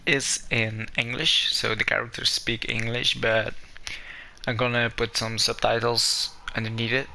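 A man's voice: mid-range voice, little pitch movement, measured pace, vocal fry, sharp tone, a little hiss or hum. A young Belgian man with a Flemish accent and Dutch as his first language, giving hesitant, apologetic let's-play commentary in English. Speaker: gaming YouTuber. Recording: game music playing underneath.

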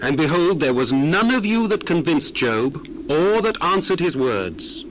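A man's voice: on the high side, big pitch swings, unhurried, slight background noise, low-quality recording. An actor playing an earnest, indignant young man of ancient times, declaiming solemnly in a dramatized scripture reading. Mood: earnest, restrained indignation. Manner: declamatory, solemn, archaic phrasing.

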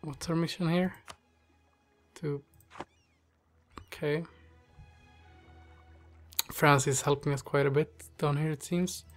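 A man's voice: fairly high pitch, fairly even intonation, takes it slowly, long pauses, soft background music. A man with a stuffy nose and a slightly strained voice, hosting casual strategy game commentary, thinking aloud with many fillers. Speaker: gaming channel host.

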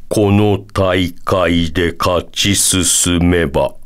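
A man voicing a wise, proud elderly bear-like sage in a video game, measured and calm, ending sentences with a quirky verbal tic. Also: sits low, clean tone. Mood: calm, kindly. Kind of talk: video game character voice acting.

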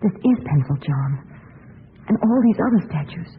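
A woman's voice: mid-range voice, expressive, normal speed, natural voice. A woman playing a nervous, inquisitive detective's companion in dramatic 1940s radio style. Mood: nervous, horrified. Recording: scratchy, tinny 1940s radio recording.

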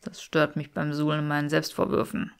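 A woman's voice: sits low, steady tone, a little fast, vocal fry, clean tone, pitched below the performer's own voice. A woman voicing a brooding, self-reproachful, haughty man with dry wit in a fiction audiobook. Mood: dry, guilty.

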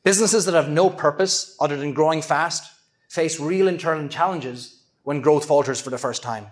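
A middle-aged man giving a conference keynote, earnest and measured, with self-deprecating asides. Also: on the high side. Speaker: keynote speaker. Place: large event hall with an audience. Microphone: handheld microphone at a lectern. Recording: echoey hall.

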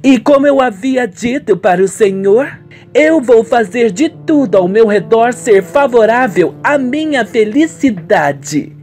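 A woman playing a headstrong, scheming young daughter in an amateur Brazilian radio soap, with emphatic, drawn-out phrasing. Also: fairly high pitch, animated, darkish tone, faint music behind. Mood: self-assured.

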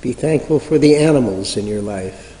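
Guy doing guided prayer meditation, soft dark tone, measured w long pauses, lots of reverb. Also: medium pitch, lively intonation. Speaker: meditation leader. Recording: reverberant hall sound.

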